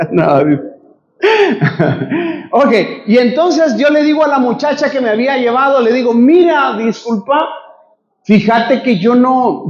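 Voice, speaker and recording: A man's voice: very high voice, highs cut off. A male pastor from Guatemala with a Guatemalan Spanish accent, preaching a sermon and telling a personal story to his congregation. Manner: animated storyteller addressing congregation as brothers. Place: church.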